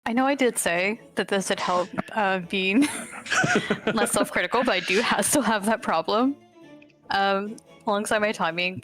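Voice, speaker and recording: A woman's voice: mid-range voice, fairly bright tone. A woman game artist chatting casually in a Q&A, bashful, self-effacing and prone to nervous giggles. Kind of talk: casual Q&A chat.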